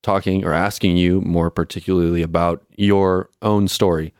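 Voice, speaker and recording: A man co-hosting a podcast, greeting a guest and asking questions in a friendly, somewhat halting way. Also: deep voice, flat delivery, darkish tone, clear, resonant tone, no echo, clean studio sound. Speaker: co-host. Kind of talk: podcast interview.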